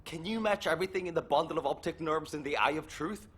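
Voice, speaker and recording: A man's voice: on the high side, fast talker, a bit bright, husky. An actor playing a stubborn, emotional young male soldier in a video game, earnest and easily exasperated. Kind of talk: dramatic video game voice acting.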